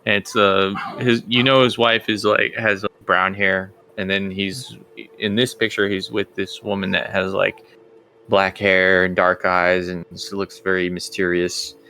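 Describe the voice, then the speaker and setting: A man's voice: low-pitched, level tone, a little slow, sharp tone, a little hiss or hum, rough recording. A man working as game master, improvising narration in a hesitant, conversational way, thinking aloud.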